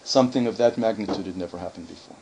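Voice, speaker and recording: A man's voice: lowish voice, racing, creaky, a little mellow, slight background noise, rough recording. A man speaking for a community, answering a visiting group's questions in a conversational way, with many fillers and self-corrections.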